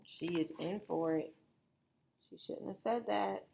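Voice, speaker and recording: A woman's voice: lowish voice, takes it slowly, vocal fry, noisy background. A woman reading a storybook aloud as a teacher would, expressively, with brief asides to her listeners.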